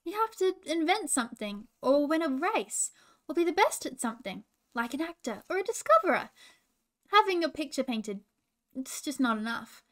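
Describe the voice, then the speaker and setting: A friendly kangaroo pal from a children's storybook, played by a woman, pitched on the high side. The character is curious and frank, asking questions and offering helpful explanations. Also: sing-song, normal speed, fairly bright tone. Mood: amazed, doubtful.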